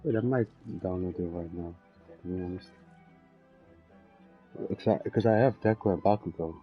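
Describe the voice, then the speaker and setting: A young man chatting casually while playing a game, halting and often trailing off mid-thought. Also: low voice. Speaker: game streamer. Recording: dull lo-fi mic, game music behind.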